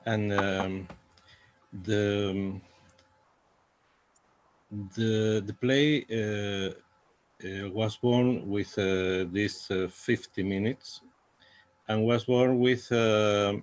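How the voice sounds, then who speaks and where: A man with a Spanish accent, a native Spanish speaker, speaking haltingly as a playwright in a post-show discussion. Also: on the low side.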